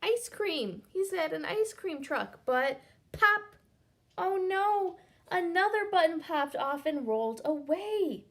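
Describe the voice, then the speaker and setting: A woman's voice: very high voice, expressive, slow. A young woman teacher reading a picture book aloud to children, counting along and asking them questions.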